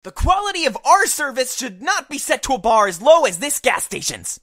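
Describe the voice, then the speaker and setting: A woman's voice: high-pitched, very animated, brisk, a bit bright, no room sound, clean studio sound, heavily acted. A woman voicing a pompous, demanding male leader of an exterminator squad, with formal, theatrical speech in a visual novel. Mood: haughty.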